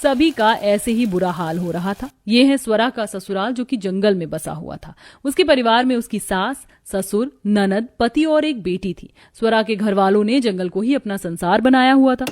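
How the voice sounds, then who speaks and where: A woman's voice: medium pitch, animated, moderate pace, few pauses, a little mellow. A woman narrating a scripted Hindi moral story as the storyteller.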